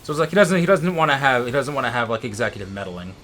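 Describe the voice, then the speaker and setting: A man's voice: mid-range voice, very animated, racing, a bit bright, faint music behind. A man co-hosting a let's play, chatting casually as he comments on a video game. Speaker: co-host of a gaming let's play. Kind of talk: casual gameplay commentary chat.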